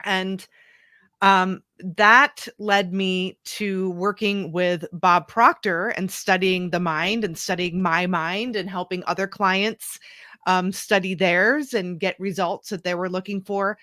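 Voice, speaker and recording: A woman's voice: on the low side, fairly even intonation, takes it slowly, sharp tone, dry recording, studio quality. A woman coach in her thirties, a guest telling her story in an earnest, reflective way. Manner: earnest, reflective, restarts and fillers. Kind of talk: conversational interview.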